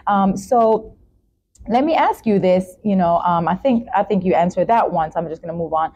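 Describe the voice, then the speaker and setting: A woman's voice: mid-range voice, lively intonation, moderate pace, warm tone, muffled recording. Female talk show host, noisy background. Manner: affirming, interjects often. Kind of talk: casual podcast interview. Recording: clear background noise.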